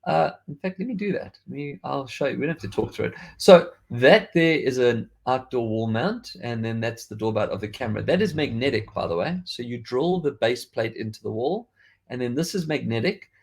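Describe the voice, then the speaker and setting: A man's voice: mid-range voice, lively intonation, brisk, vocal fry. A man from South Africa with a faded South African accent, hosting a podcast in a chatty, rambling way with frequent restarts. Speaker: podcast host. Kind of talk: casual tech chat.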